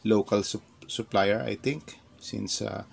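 Slow, gravelly man with many fillers. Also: fairly low pitch, steady tone. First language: Tagalog. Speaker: vlogger. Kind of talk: casual unboxing talk. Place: indoors at a desk. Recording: clear crinkling noise behind. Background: plastic crinkling and clicks.

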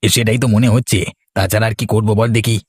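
Man acting a low, husky, grumbling villager. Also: little pitch movement, fast, no room sound, clean studio sound. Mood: irritated. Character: irritable village palm-fruit seller in a ghost story.

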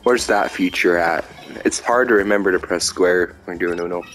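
A man's voice: low-pitched, normal speed, a bit bright, poor audio. A young man giving rambling, self-mocking commentary while playing a card game, now and then breaking into silly sing-song chants. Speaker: gameplay commentator. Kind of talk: casual gameplay commentary.

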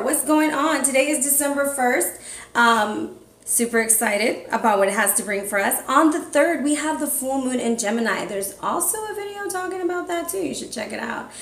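Chatty woman tarot reader, echoey room. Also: fairly high pitch. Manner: upbeat, chatty, calls viewers family and fam. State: hoarse, losing her voice. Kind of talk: casual monthly tarot reading vlog. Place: small room indoors.

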